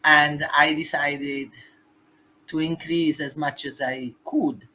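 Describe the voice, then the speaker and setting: A woman with an Italian accent talks as a podcast guest, her voice bright and pushing forward with force. Her English carries a native Italian speaker's phrasing, and she lands her points with emphasis. Faint noise sits under the voice. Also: sits low, steady tone, slow, rough recording. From Italy. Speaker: guest, human rights activist. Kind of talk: podcast interview.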